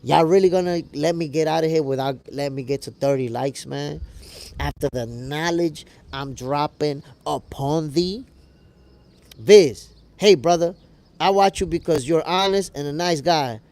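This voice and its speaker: American man, livestream host, creaky gravelly voice, fairly high pitch, animated, slangy, chatting casually with viewers. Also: moderate pace. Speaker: host of a boxing show livestream, reading viewer comments. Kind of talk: casual livestream chat with audience.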